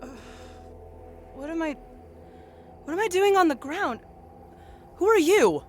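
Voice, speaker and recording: A woman's voice: extremely high pitch, expressive, measured pace. A woman acting in an audio drama as a determined but rattled private investigator. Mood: confused, alarmed. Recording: clearly noisy background ambience.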